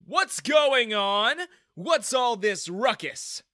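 Guy doing a snooty bank boss for a story, super mocking, high and bright, swoops around. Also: very animated, extremely slow, no echo, studio quality, heavily acted. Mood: haughty, annoyed. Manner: haughty, mocking. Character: arrogant sneering male bank manager, mocking and loud.

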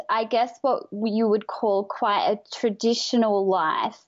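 An Australian woman, a life coach, telling her story in a bright, chatty way as an interview guest. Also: a little high, muffled recording. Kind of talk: interview answers.

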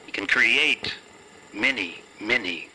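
A man voicing an eerie discarnate spirit that speaks slowly and formally from a ghost box in an audio fiction game. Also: fairly low pitch, animated, sharp tone, breathy edge, exaggerated voice, rough recording. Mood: ominous, clinical. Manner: slow, deliberate, formal phrasing. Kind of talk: tabletop audio fiction role-play.

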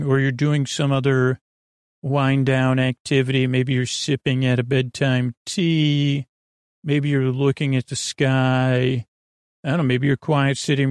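A man hosting a bedtime podcast, rambling hesitantly in a gently silly, reassuring way and often doubling back on himself, with a gravelly, creaky voice. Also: medium pitch, dry recording, clean studio sound. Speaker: podcast host.

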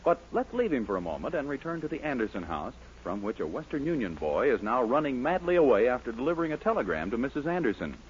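Fast, animated vintage radio announcer, man. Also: medium pitch. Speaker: announcer-narrator. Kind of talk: scripted 1940s radio narration. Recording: muffled, noisy old radio recording.

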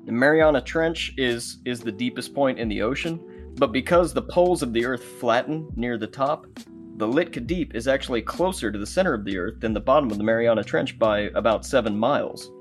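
A man's voice: medium pitch, fairly quick. A man chatting casually as an audio drama creator, acting out remembered exchanges as he tells behind-the-scenes stories. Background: guitar music.

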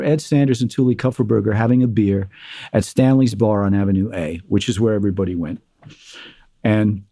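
Mellow-voiced man telling stories. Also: fairly low pitch, husky, no room sound, studio quality. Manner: animated storyteller, acts out quotes, many fillers. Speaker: guest, musician. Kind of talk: conversational interview storytelling.

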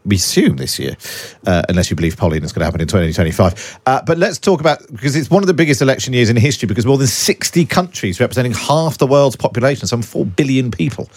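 A man hosting a political podcast, steering the discussion in a brisk way. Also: medium pitch, lively intonation, slightly rough. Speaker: podcast host.

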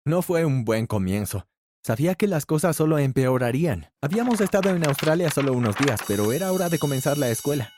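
Male narrator for a first-person story, fairly high voice with a lively, swinging tone; faint background noise. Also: quick pace. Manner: animated storytelling, shifts pitch for characters' lines.

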